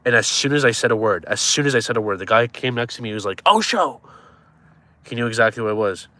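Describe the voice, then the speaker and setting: A man's voice: medium pitch, expressive, fast, sharp tone. A man in his twenties chatting casually on a live stream, joking and teasing viewers as he reads their comments. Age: 20s.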